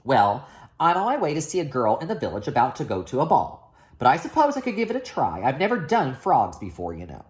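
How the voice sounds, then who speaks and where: A woman's voice: extremely low pitch, huge pitch swings, a little fast, full character voice. A woman voicing a blunt, chatty, obliging fairy godmother in a storybook tale. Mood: blunt, breezy.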